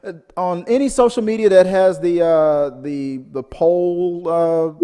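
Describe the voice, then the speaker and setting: A man hosting a casual pop-culture show, telling stories in a rambling, animated way. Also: sits high, unhurried, clear, resonant tone. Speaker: podcast host. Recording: reverberant, echoing room sound.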